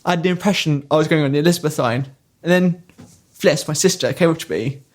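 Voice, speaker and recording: A man's voice: on the high side, fast. A man chatting casually on a podcast, telling rambling anecdotes with a laugh here and there. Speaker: podcast co-host. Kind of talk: casual storytelling.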